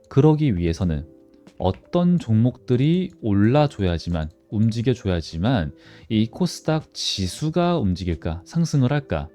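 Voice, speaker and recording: A man's voice: mid-range voice, warm tone, highs cut off. A man hosting a stock analysis channel, explaining informally to viewers and posing questions that he then answers. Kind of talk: informal market commentary to viewers.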